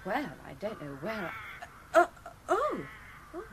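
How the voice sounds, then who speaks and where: A woman's voice: fairly high pitch, wildly swooping pitch, unhurried, fairly bright tone, a little hiss or hum, full character voice. An actress playing a prim, spirited, easily flustered young woman with an English accent in a radio play. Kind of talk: 1980s radio drama acting.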